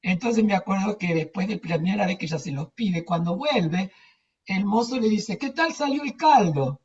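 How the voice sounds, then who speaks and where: A woman's voice: mid-range voice, expressive, normal speed, darkish tone. An older woman with an Argentine accent, telling anecdotes casually in a rambling, emphatic way with repetitions. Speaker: theatre teacher. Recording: distant, dull muffled microphone.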